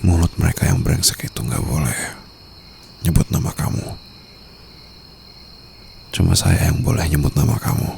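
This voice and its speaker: A man with an Indonesian accent acting a smitten, protective mafia boss in an intimate ASMR boyfriend roleplay, murmuring softly and teasingly. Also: extremely low pitch, moderate pace, vocal fry, pauses often, heavily acted. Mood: reassuring, possessive. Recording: faint night-time insect ambience noise.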